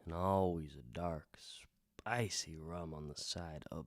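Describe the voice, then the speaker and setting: A man playing a weary, gentle, wry wandering bard who tells tales in an unhurried, musing way with trailing pauses, in the style of a radio drama. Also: rumbling low, dry recording, clean studio sound. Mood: wistful, wry. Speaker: host and bard of a radio hour. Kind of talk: in-character radio drama storytelling.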